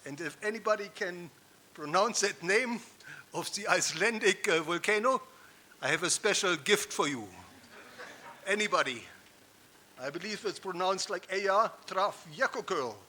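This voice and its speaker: A man's voice: high voice, expressive, moderate pace, sharp tone, slight background noise. A man in his fifties with a German accent, giving a rhetorical, emphatic keynote speech on a conference stage. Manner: rhetorical, emphatic, with audience asides. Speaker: keynote speaker.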